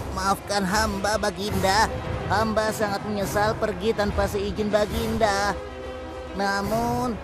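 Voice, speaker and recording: A woman's voice: medium pitch, natural voice. A woman voicing a small, humble and loyal messenger bird in a children's cartoon, earnest and eager. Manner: earnest, deferential, wonder-struck. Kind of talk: Indonesian children's religious cartoon acting. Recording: loud music behind the voice.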